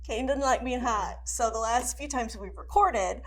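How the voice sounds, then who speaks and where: A woman's voice: fairly high pitch, normal speed. An American woman co-hosting a podcast, chatting casually in a playful, giggly way. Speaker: podcast co-host. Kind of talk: casual chat.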